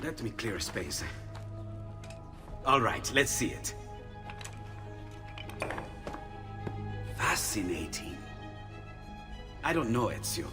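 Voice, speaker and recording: An excitable Renaissance painter-inventor in a game cutscene, speaking with an Italian accent. His intonation leaps about as he exclaims and mutters to himself. Long reverb surrounds him, with music underneath. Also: on the low side, slow. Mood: fascinated. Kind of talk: video game cutscene acting.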